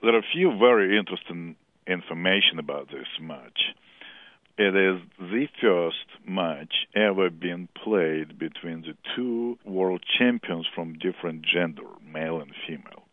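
A man from Russia with a Russian accent, a chess school director talking earnestly in halting English as an interview guest. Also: sits low, dry recording. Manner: earnest, halting non-native English with some laughter. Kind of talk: phone interview. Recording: tinny phone line.

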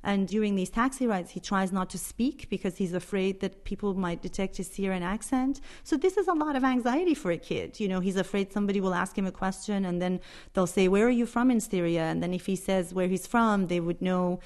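A woman's voice: mid-range voice, fairly quick, a little mellow. A woman speaking as a reporter in an interview, explaining things in an earnest, emphatic way. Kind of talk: spoken radio interview, explanatory.

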